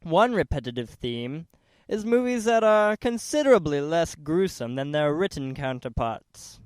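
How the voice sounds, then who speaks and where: A man's voice: a little high, lively intonation, a little slow, clean tone, no room sound, studio quality. A man narrating a documentary from a script in a formal, careful, essay-like manner. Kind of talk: scripted narration, read aloud.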